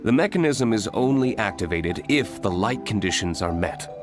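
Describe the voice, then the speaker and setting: A man voicing an aloof, serious young nobleman in an anime-style video game, terse, formal and deadpan. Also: fairly low pitch, moderate pace, music in the background, natural voice. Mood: analytical.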